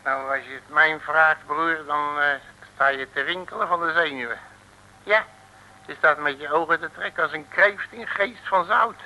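An actor playing a cheeky, streetwise detective in an old radio serial, with a folksy, cajoling, colloquial way of talking. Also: male voice, fairly high pitch, moderate pace, bright, forceful tone, rough recording. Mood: mocking. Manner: folksy, colloquial, cajoling, calls listener brother. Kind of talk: 1950s-60s radio serial acting.

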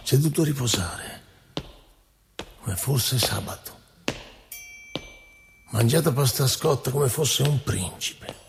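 A man reciting poetry dramatically, as in radio theatre, with measured, deliberate phrasing and pauses. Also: medium pitch, animated, gravelly, long reverb tail, background noise. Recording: voice over prominent music. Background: loud musical instrument.

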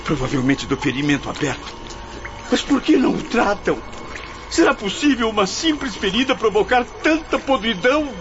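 A man's voice: high voice, very animated, moderate pace. An actor playing a blind, wounded man who has taken his own life, frightened and desperate, in a radio drama. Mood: fearful. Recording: dull recording with loud noise behind.

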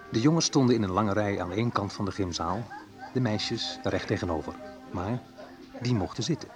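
An actor playing a wistful, reflective man who recalls awkward teenage love in an intimate radio play monologue. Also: on the low side.

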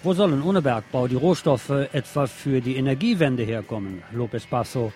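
A man's voice: medium pitch, normal speed, darkish tone, faint music behind. A man presenting on the radio, reading press articles aloud.

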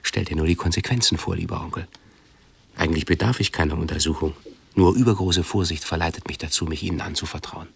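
An actor playing a brooding, hypochondriac intellectual with pedantic, ornate diction in a radio drama. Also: male voice, low-pitched, steady tone, fast, gravelly, a bit bright, slightly rough, no echo, full character voice. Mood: anxious, formal. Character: brooding, hypochondriac intellectual man tormented by his body. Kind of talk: 1970s German radio drama acting.

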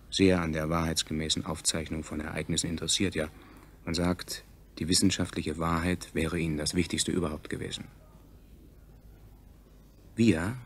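An actor playing the solemn chronicler of a subjugated future people, narrating a scripted science fiction radio drama in a measured, declamatory way with a gravelly, husky voice. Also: male voice, sits low, a little hiss or hum.

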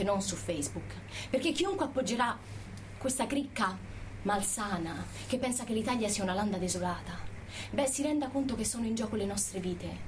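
An actress playing a passionate, indignant Italian activist in an emphatic, accusing political theatre monologue. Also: female voice, medium pitch, a little hiss or hum, lightly acted, low-quality recording. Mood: pleading, grave. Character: indignant Italian activist woman living in California, pleading passionately.

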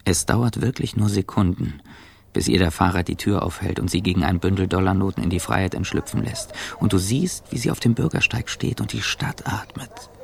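An actor playing an anxious, self-accusing traveller in a radio drama, speaking an intimate, brooding inner monologue. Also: male voice, low-pitched, fairly quick, vocal fry. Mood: feverish, wistful. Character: anxious, self-accusing male business traveller, inwardly narrating.

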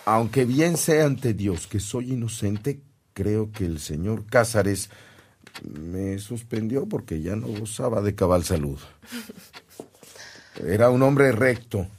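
A man playing a devout, forgiving middle-aged Mexican priest in a radio drama, speaking in a solemn, measured way. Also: on the low side, lively intonation, a little mellow, slightly rough, full character voice. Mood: firm, hurt.

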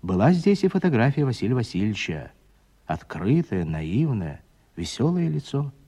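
Male narrator, mellow dark tone, very varied intonation, expressive literary storytelling, radio drama. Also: medium pitch, normal speed, breathy edge.

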